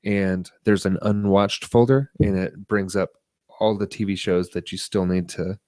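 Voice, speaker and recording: A man co-hosting a podcast, chatting casually about tech and media in a halting, rambling way. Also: deep voice, fairly even intonation, darkish tone, no room sound. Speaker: podcast co-host.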